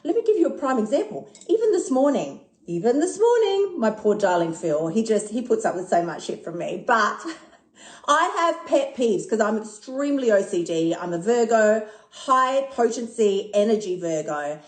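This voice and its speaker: A woman in her fifties who talks excitably, swears a lot and laughs often during a casual live stream. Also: a little high, moderate pace. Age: 50s. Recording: echoey room.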